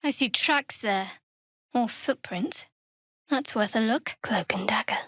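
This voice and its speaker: Guarded, sardonic young dark cleric. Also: female voice, fairly high pitch, unhurried, husky, no room sound, clean studio sound. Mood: wry, watchful. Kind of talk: video game character dialogue.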